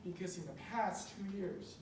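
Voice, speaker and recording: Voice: mid-range voice, moderate pace. Echoey, impassioned public speaker from Taiwan. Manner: emotive, emphatic, repeating phrases for effect. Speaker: public speaker at a school board meeting, treats patients. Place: indoor public meeting room. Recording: distant mic in echoey, noisy meeting room.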